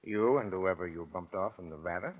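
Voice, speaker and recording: An actor playing a wry, knowing police detective, explaining with irony in 1940s radio acting style. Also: male voice, deep voice, a little fast. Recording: muffled 1940s radio recording.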